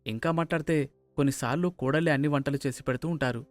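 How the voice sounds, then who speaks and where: A mild young husband in a cartoon moral story, voiced by a man. He reasons with gentle reproach in a medium-pitched voice. The sound is dry with music underneath. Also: racing, no room sound, natural voice. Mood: reasoning. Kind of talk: animated moral story dialogue.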